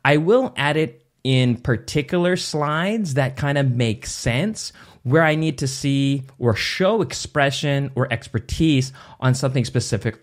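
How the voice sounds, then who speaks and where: Tutorial host, male, 30s, mid-pitched, easygoing step-by-step explainer, close boom mic in a home studio. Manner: casual step-by-step explaining with restarts. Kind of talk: screen-recorded software tutorial. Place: small home studio indoors. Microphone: boom mic on arm near face.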